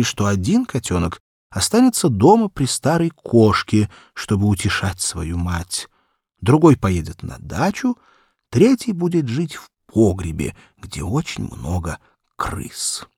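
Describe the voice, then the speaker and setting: A man reads a children's literary audiobook with theatrical, measured delivery. His voice is low and husky, with lively swings of pitch, recorded very dry. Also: no room sound. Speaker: narrator.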